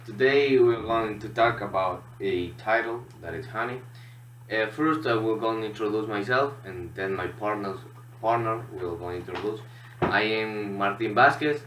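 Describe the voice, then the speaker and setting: A man from Honduras with a Honduran Spanish accent gives a student presentation in halting, non-native English. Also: lowish voice, a bit bright. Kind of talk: classroom presentation. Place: echoey room. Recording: echoey room, distant mic, background noise.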